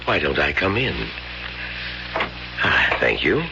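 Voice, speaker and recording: An actor playing a mysterious, all-knowing stranger in a radio drama, deliberate, cryptic and insinuating. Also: male voice, extremely low pitch, big pitch swings, full character voice. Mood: polite, cryptic. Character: mysterious, all-knowing male visitor, smooth and menacing. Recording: dull, faintly noisy old radio recording.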